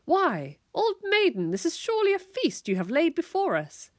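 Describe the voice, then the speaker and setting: A woman's voice: very high voice, huge pitch swings, moderate pace, no room sound, studio quality, voice pushed up, full character voice. A woman voicing a young boy in a storybook who plays a bold, boastful knight with grand, mock-chivalrous speech. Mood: boastful, playful.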